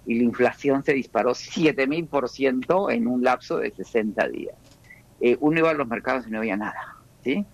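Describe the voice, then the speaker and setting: Measured Peruvian-born man explaining economics. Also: medium pitch, muffled recording. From Peru. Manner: measured, explanatory, frequent restarts. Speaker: economist guest. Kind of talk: radio interview answers.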